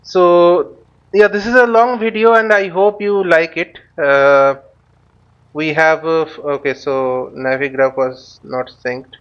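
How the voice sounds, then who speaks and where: A slightly dull, lo-fi recording of a man with a fairly high voice. He gives a casual walkthrough as a tutorial host, thinking aloud in short, halting phrases. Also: fairly even intonation, smooth. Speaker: host of a flight simulator tutorial. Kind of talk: casual spoken walkthrough while flying a simulator. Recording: dull, lo-fi microphone sound.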